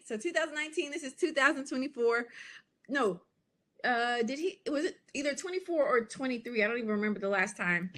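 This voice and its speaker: Chatty American woman coach, bright and lively. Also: on the high side. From United States. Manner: lively and chatty, with frequent "okay?" and "y'all" asides. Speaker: business coach. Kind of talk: casual live presentation.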